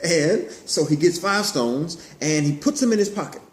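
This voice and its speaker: Animated man telling children's stories, echoey room. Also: a little high, moderate pace. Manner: simple words, repeats phrases for emphasis. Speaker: storyteller, puppet show host. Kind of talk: animated children's Bible storytelling, asking questions. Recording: roomy echo, clear background noise.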